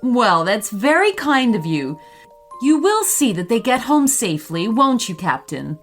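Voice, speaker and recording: A woman voicing a warm, doting and grateful mother Tyrannosaurus in a children's story. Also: fairly high pitch, sing-song, a little slow, faint music behind. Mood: gracious. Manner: affectionate, gracious.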